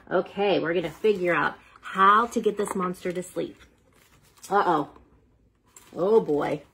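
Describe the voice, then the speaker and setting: A woman reading picture books aloud to young children, playful and dramatic, pausing for chatty asides and questions to the listener. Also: mid-range voice, breathy edge.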